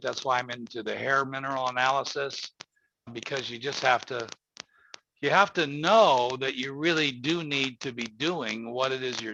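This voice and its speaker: A man's voice: medium pitch, vocal fry, bright, forceful tone. A man in his seventies hosting a casual call-in chat, talking in a folksy, rambling, emphatic way. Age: 70s. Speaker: host. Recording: muffled lo-fi audio with faint noise.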